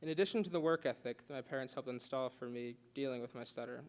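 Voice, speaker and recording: Young male student, quick delivery, earnest speech with a light stutter. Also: medium pitch, clear, resonant tone. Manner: earnest, with occasional stutters and restarts. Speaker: student giving a class speech. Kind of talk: prepared speech read aloud.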